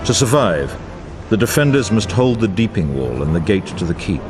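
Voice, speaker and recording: An actor playing a grave, wise war narrator in a fantasy video game, with dramatic, archaic and formal delivery over music. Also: male voice, low voice, big pitch swings, moderate pace. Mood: solemn, foreboding.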